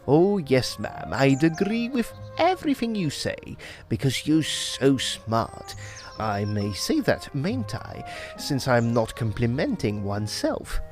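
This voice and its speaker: Woman doing a dopey sweet young guy proposing, low voice that jumps all over, old radio play style. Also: slow pace, soft background music, exaggerated voice. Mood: earnest. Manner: earnest, childlike rambling with sudden excited outbursts. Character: naive, simple-minded young male suitor, cheerful and eager. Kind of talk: old-time radio drama acting.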